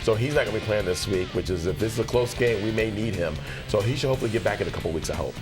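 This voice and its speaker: A man running through football injury reports on a sports talk show in a brisk way. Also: lowish voice, music in the background. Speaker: football analyst.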